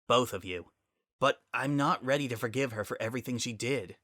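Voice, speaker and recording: A man's voice: mid-range voice, brisk, a bit bright, no room sound, clean studio sound, lightly acted. A man voicing a stern, proud, wounded female pegasus officer in a dramatic story reading. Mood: firm, softened.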